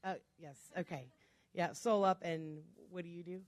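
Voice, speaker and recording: A woman originally from Chicago giving a chatty, fast-paced talk to a club audience, with joking asides. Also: fairly low pitch, fairly even intonation, dry recording. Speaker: guest speaker.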